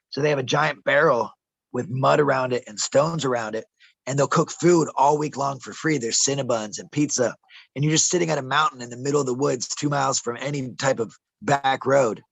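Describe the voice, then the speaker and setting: Gravelly man telling wild stories. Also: medium pitch, a bit bright, no echo, rough recording. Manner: conspiratorial, says bro and man, trips over words. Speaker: interviewee, traveler telling his stories. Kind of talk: casual rambling storytelling. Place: outdoors in a desert camp.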